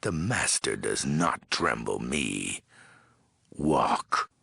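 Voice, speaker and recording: A menacing ghoul warlord from a video game, with an extremely low, rasping voice that is thick with gravel. He is weary and commanding, spitting out cryptic slang in terse, broken phrases at a slow, swinging cadence. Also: expressive, bright, forceful tone, no room sound, clean studio sound, heavily acted. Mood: impatient contempt. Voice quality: very gravelly, raspy. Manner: terse, commanding, threatening, odd broken syntax. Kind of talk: video game character voice acting.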